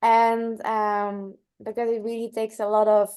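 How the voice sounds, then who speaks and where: A woman's voice: on the high side, completely flat delivery, measured pace, a little mellow, clean tone. A woman who works as an online running coach and athlete, talking casually in a webinar with frequent fillers and "like".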